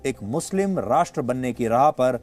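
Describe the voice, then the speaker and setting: A male news anchor with an Indian accent delivering opinionated commentary in a deliberate, emphatic way with rhetorical repetition. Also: medium pitch, lively intonation, darkish tone. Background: background music.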